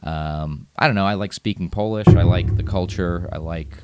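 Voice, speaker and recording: A man, a guest chatting casually, relaxed and rambling, laughing often. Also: low-pitched, moderate pace, smooth, dry recording. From United States. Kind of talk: casual chat.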